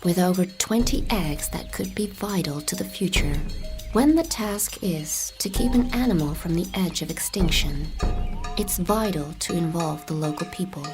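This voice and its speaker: A woman from the Netherlands, a native Dutch speaker, reading scripted voice-reel narration in an American accent with a breathy voice. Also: on the low side, animated, moderate pace, music in the background. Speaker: voice actor.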